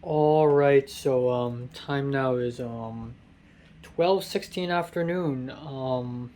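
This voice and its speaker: A tired young man with a raspy voice, rambling through a casual vlog from a hotel room, halting with many ums. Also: medium pitch, warm tone, slight background noise. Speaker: vlogger.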